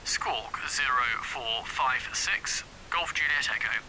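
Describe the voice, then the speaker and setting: A man playing a calm civilian pilot making clipped, businesslike radio calls in a training demonstration. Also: medium pitch, slow pace, whispered. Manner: clipped, businesslike, matter-of-fact. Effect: aircraft radio transmission. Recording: hissy, noisy, harsh radio link.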